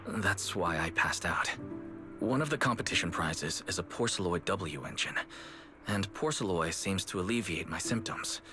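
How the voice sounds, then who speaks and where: A man playing a kind, composed young manager in a game cutscene, speaking in a gentle, measured way. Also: sits low, lively intonation. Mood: calm, candid. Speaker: video game voice actor. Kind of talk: animated game cutscene dialogue. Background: background music.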